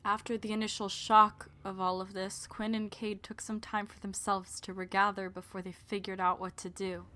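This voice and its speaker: A woman playing the storyteller of an audio drama, narrating a scripted tale in a measured way. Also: mid-range voice, level tone, bright, forceful tone, close to an everyday voice. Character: female storyteller narrating a breakup.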